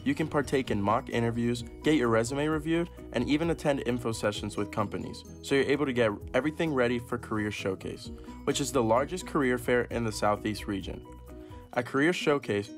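Upbeat young man presenting a campus tour. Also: mid-range voice. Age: young adult. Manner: energetic, enthusiastic. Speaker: student ambassador host. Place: outdoors on a university campus.

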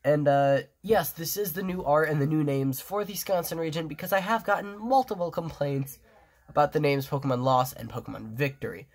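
A man's voice: a little high, brisk. A man whose nose is blocked up, so every word comes out nasal and thick. He talks loosely and casually, restarting sentences and padding with fillers as he shows off his drawings. He sounds like a phone propped over a tabletop indoors. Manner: rambling, many restarts and fillers. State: stuffed nose, sniffling. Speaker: fan artist presenting his drawings. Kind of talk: casual show-and-tell commentary.

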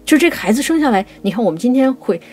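A woman co-hosting a conversational talk on classical poetry, explaining things and reciting verse slowly. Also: a little high, lively intonation, a little mellow, husky, soft background music. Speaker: writer and co-host.

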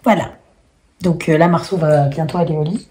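Chatty husky woman vlogging at home. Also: deep voice, steady tone, moderate pace, warm tone. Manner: chatty, many hesitations, frequent laughs. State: slight cough and cold. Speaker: vlogger, single mother. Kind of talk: casual home vlog to camera. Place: small rooms of a home, indoors. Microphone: phone held at arm's length. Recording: echoey room.

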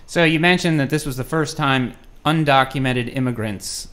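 A man's voice: medium pitch, normal speed, fairly bright tone. An American man interviewing a guest as podcast host, informal and conversational, prompting with short questions. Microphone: podcast microphone on a stand.

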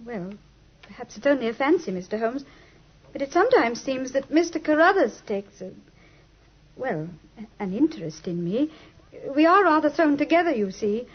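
High-voiced, genteel young Englishwoman. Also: sing-song, moderate pace, breathy edge, full character voice. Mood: coy, polite. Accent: British English. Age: young adult. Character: genteel, earnest young lady client telling her troubles. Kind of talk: 1950s radio drama acting. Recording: muffled, lo-fi 1950s radio recording.

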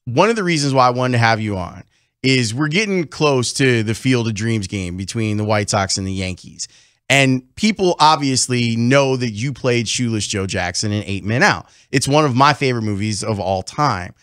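A man's voice: mid-range voice, animated, moderate pace, a bit bright, no echo. A middle-aged man hosting a sports radio show, asking a studio guest curious, leading questions. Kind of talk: radio interview. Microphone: studio mic with headphones.

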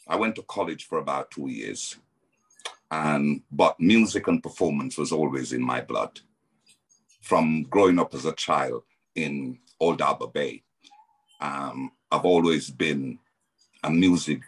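A man's voice: a bass voice, slightly rough. A middle-aged man with a Jamaican accent, telling his story as a guest on a video call, hesitant with many ums and restarts.

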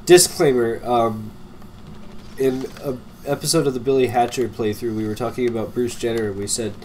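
A man's voice: mid-range voice, steady tone, normal speed, a little mellow, a little hiss or hum, poor audio. A congested young man with a cold, rambling and laughing as he comments on a game he is playing. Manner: rambling, laughs often, trails off. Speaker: let's play commentator. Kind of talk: casual gameplay chat.

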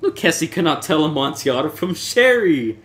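A man voicing a drunk, excitable, proud nobleman in a theatrical story reading, exclaiming boisterously and insistently. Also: a little high, normal speed, pitched above the performer's own voice. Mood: insistent, scornful. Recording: clear background noise, ambience.

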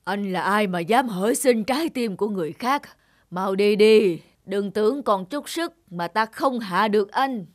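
A woman voicing a dying wolf in an animated story, scornful and threatening. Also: mid-range voice, normal speed, close to an everyday voice. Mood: hostile. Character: dying wolf, proud and hostile.

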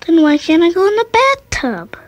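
A woman voicing a precocious, inquisitive baby girl in a radio story, breathy and childlike. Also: extremely high pitch, very animated, normal speed, no room sound. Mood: inquisitive, insistent. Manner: insistent, inquisitive. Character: precocious, questioning baby girl who talks.

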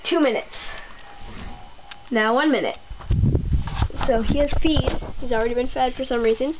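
Young girl, slow and rambling, a bit high, dull home-camera sound, faint hiss. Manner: slow, rambling, with many ums and pauses. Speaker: toy barn tour guide. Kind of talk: casual home video narration. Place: bedroom at home. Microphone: handheld camera mic.

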